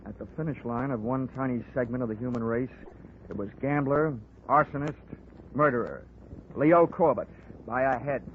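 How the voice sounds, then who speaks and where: A man's voice: mid-range voice, moderate pace, very noisy, close to an everyday voice. An actor playing a tough, wisecracking insurance investigator who narrates his case in clipped 1940s radio detective style. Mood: tense, dramatic. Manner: wisecracking, hard-boiled, clipped. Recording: muffled 1940s radio recording, music behind.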